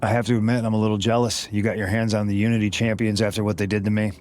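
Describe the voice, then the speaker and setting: A man playing a wry, grudge-holding veteran pro wrestler in a video game cutscene, speaking in a measured way. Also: lowish voice, flat delivery, a little mellow, natural voice. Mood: wry, resentful.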